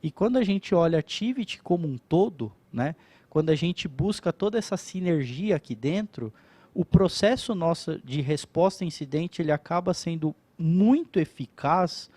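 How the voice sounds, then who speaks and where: Man, corporate presentation, casual explanatory tone, dry close sound, mellow voice. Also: fairly high pitch, lively intonation, normal speed, no room sound. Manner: explanatory, addressing the audience casually. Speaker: company cybersecurity executive. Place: event stage at company office.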